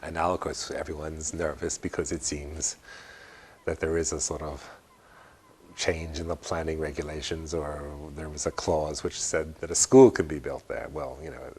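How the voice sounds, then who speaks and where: Really really deep voiced guy in an interview, wry, halting, repeats words, bit of background noise. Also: fairly even intonation, a little mellow. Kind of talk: unscripted interview commentary.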